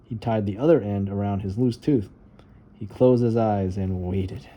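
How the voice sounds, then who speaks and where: A man's voice: fairly low pitch, fairly even intonation, normal speed, muffled recording, slight background noise. A man narrating a children's storybook in a read-aloud style. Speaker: storybook reader.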